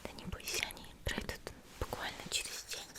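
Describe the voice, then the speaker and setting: Woman, breathy whisper, gentle and reassuring, ASMR personal-attention roleplay, caring young healer figure. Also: a little fast. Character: gentle caring young female demon-slayer healer tending a patient.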